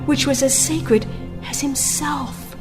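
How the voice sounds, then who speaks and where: A woman's voice: high voice, steady tone, slow. A woman narrating a religious audiobook in a solemn, formal, dramatic scripted delivery. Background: music under the voice.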